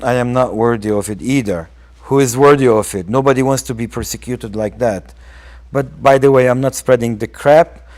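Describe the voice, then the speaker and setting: A man hosting a TV show, reading viewer comments aloud and answering them with sarcastic, mocking scorn. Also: a little high. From Armenian diaspora. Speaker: TV show host.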